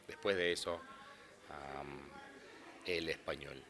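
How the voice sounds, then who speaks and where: A man's voice: extremely low pitch, steady tone, slow, crowd noise. A man from Brazil, a native Portuguese speaker, talking casually in an interview about his work as an interpreter, rambling and restarting often.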